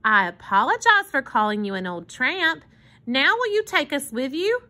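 Woman putting on a high, plaintive little boy. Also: very animated, moderate pace, pushed and bright, heavily acted. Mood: apologetic, hopeful. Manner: plaintive, questioning.

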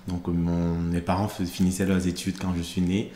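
Deep-voiced man, measured and hesitant. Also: flat delivery, dark tone, roomy sound, slight background noise. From Cameroon. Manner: measured, thoughtful, many hesitations. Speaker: podcast host, interviewed guest. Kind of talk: conversational interview. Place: studio set with plants and glass-block wall. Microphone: studio mic on stand.

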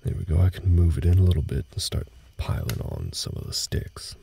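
A man playing a gruff, guarded cowboy ranch hand in an audio roleplay, talking in a slow, folksy, halting way with a husky, gravelly voice. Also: low voice, sing-song, warm tone, exaggerated voice. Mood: hushed, patient. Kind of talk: intimate scripted audio roleplay, slow speech to the listener.

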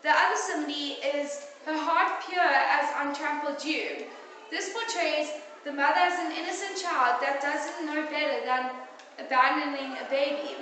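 A woman's voice: high-pitched, normal speed, sharp tone. A teenage girl giving a school oral presentation, reading carefully from her notes with small restarts. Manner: careful, read-aloud delivery with small restarts. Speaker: student presenter. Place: classroom in front of a projector screen. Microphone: far camera. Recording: echoey classroom from far off, noisy.

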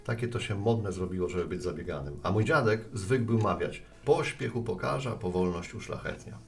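A man playing a friendly, hurried mail carrier in a radio play, chatting in a conversational way. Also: low-pitched, expressive, gravelly. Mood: reflective. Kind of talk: Polish radio play dialogue.

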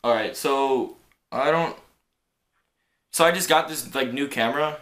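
A man's voice: mid-range voice, measured pace, fairly bright tone. A young man, talking casually and slangily, as on a phone call to a friend. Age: teenager or young adult. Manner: slangy, peppered with "like". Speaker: prank caller in a challenge video. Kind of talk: casual chat and prank phone calls. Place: indoors in a living room on a couch. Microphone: camera a short distance away.

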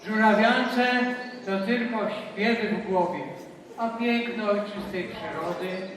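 A strict, pedantic professor, played by a man on stage, who lectures and orders silence. His voice is high and his pace slow. It rings through a large hall from afar, with an audience present. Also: a crowd in the background. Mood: stern. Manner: stern, lecturing. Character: strict, pedantic male professor leading a school trip. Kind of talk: children's stage play. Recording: echoey hall from far off, audience.

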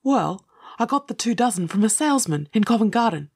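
A woman's voice: medium pitch, lively intonation, fairly quick, breathy edge. A woman playing a plain-spoken, folksy pub landlord in a dramatised audiobook. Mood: obliging.

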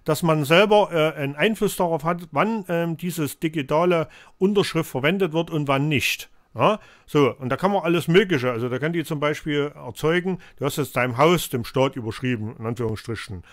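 A man's voice: fairly high pitch, moderate pace, hardly any pauses, dry recording. A man in his fifties with a cold, hosting a live call-in show from a home studio and lecturing in a rambling way with many fillers. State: has a cold, coughing.

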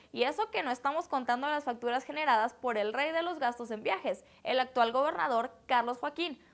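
A woman's voice: sits high, fairly bright tone. A young woman with a Mexican accent presents a scripted news explainer, speaking directly and explanatorily to the viewer. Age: young adult. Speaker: host of an online news explainer. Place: indoor green-screen studio. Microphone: lapel mic.

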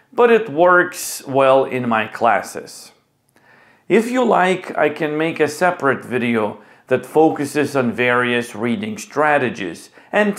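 A middle-aged man speaking as an English teacher, with deliberate, clearly enunciated delivery. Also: medium pitch. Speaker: ESL teacher and video host. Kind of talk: presenting to camera and leading a children's class.

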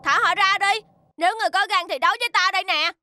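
Young robot boy, brave, urgent, extremely high voice, fast, cartoon dub. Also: very noisy. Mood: brave, defiant. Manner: urgent, scolding, righteous. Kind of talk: animated cartoon dub acting. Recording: loud music behind the voice.

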